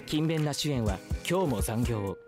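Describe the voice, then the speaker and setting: A man's voice: medium pitch, animated, fast, close to an everyday voice. A man playing a dramatic, tongue-in-cheek announcer in a Japanese game trailer. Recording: game trailer audio with loud music.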